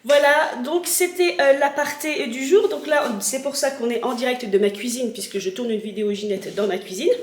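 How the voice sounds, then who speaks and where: Chatty woman in her thirties, rather high voice, a bit stuffy with a cold, lots of fillers, recorded in an echoey kitchen. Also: moderate pace, few pauses. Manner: chatty, rambling, many fillers. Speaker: vlogger. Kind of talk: casual unboxing chat. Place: home kitchen.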